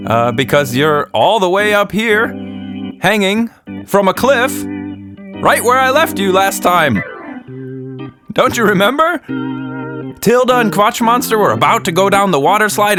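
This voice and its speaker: A man telling a story for children as its author, playfully teasing and speaking straight to the listener. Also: sits high. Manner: animated, teasing, talks directly to the listener.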